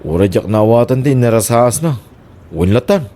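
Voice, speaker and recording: A man's voice: medium pitch, expressive, fairly quick, dark tone, natural voice. A man playing an easygoing massage worker in a radio comedy-drama. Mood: exasperated.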